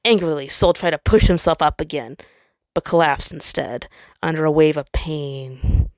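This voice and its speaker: Low-voiced woman narrating a story, dry sound. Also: normal speed, a little mellow, dry recording. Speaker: fan fiction reader, narrator.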